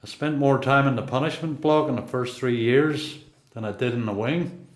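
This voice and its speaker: An actor with a Northern Irish accent playing a seasoned, quietly defiant long-term prisoner in a radio play. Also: male voice, mid-range voice, normal speed, lightly acted. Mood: world-weary. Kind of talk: radio play dialogue and narration. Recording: echoey room.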